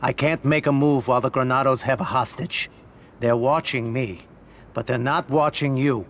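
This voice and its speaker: A man playing a calculating older crime boss in a video game, speaking in a measured, understated, authoritative way. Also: medium pitch, fairly even intonation, a little hiss or hum, lightly acted. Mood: measured, probing. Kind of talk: video game cutscene acting.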